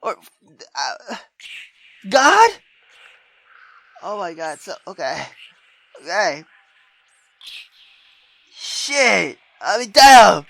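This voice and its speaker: A man reading a visual novel aloud theatrically as a gaming YouTuber, with excitable, sweary commentary. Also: sits high, drawn-out words, creaky, pauses often, no echo.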